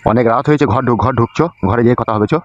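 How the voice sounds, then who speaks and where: A man's voice: mid-range voice, steady tone, very fast, lo-fi sound, lightly acted. A man voicing a jealous, bullying older village husband in a comedy cartoon, cursing and interrogating harshly. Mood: gruff, suspicious.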